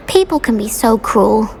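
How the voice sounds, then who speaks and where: Whiny eager british schoolgirl witch for a game, high voice, really swoopy and prim. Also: lively intonation, slow, a bit bright, full character voice. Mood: aggrieved. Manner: theatrical, self-pitying, prim. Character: petulant, eager teenage witch schoolgirl with odd hobby. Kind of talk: video game cutscene acting. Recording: faint to clear background ambient noise.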